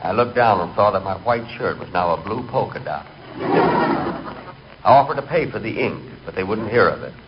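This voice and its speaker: A hapless, literal-minded middle-aged man played by an actor narrates in deadpan 1940s radio style. His voice is low with lively swings of pitch. The recording is dull and clearly noisy. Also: moderate pace, close to an everyday voice. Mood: earnest, deadpan. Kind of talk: 1940s radio comic monologue.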